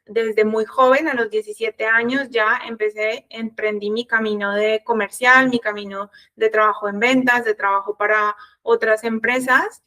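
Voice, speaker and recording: Casting: woman in her thirties, Colombian accent, fairly high voice, conversational online trainer and coach. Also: steady tone, moderate pace, pushed and bright. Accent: Colombian Spanish. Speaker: sales coach and consultant. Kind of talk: online workshop presentation, conversational.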